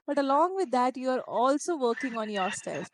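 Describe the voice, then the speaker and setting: A woman's voice: high voice, very animated, moderate pace. A woman who speaks Malayalam as her first language, tutoring English in a one-on-one conversation lesson and talking in long encouraging turns. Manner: encouraging, talks in long flowing turns with "you know" and "right?".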